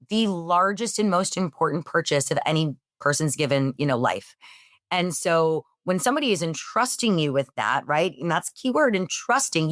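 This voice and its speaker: A woman answering interview questions in an energetic, emphatic way; she says she has a loud voice. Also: fairly low pitch, dry recording, clean studio sound. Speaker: real estate executive guest.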